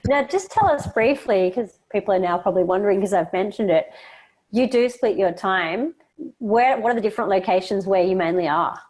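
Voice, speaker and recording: A woman's voice: mid-range voice, brisk, darkish tone, low-quality recording. A woman hosting an interview podcast, presenting and putting questions to a guest. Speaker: podcast host. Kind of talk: scripted introduction and interview questions.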